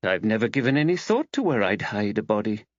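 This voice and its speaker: A man voicing a thoughtful, easily dismayed woman writer in earnest, expressive audiobook dialogue. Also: fairly low pitch, a little fast, no room sound, muffled recording. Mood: curious, matter-of-fact.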